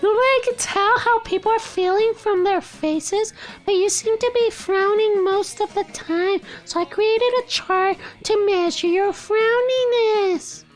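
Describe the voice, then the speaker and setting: Squeaky, sheltered young princess. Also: male voice, fairly even intonation, a little slow. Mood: earnest, puzzled. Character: naive, sweet, sheltered young monster princess.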